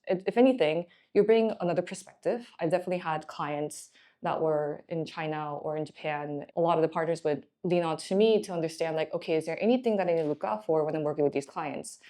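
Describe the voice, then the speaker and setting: A woman's voice: on the low side, warm tone. A young woman from Korea, a management consultant, giving candid, encouraging career advice in a chatty way.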